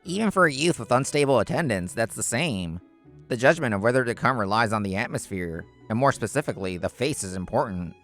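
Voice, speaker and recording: A man's voice: mid-range voice, expressive, fairly quick, fairly bright tone, faint music behind. A man playing a vain, envious young office worker in a manga story, with a smug, indignant manner. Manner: indignant, smug, stammering when flustered.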